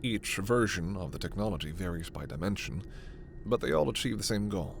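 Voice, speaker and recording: A man playing a gentle, scholarly, protective alien doctor in a sci-fi ASMR roleplay, speaking in a measured, formal, precise way. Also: low voice, animated, vocal fry, noisy background. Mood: calm, informative.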